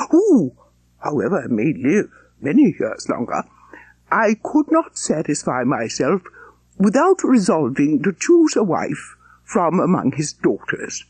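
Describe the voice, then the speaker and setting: Man playing smug clergyman suitor, audiobook character, pompous, obsequious, ponderous, very animated pitch, dry close sound. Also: slightly rough, no room sound, full character voice. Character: pompous, self-satisfied clergyman suitor, smugly formal.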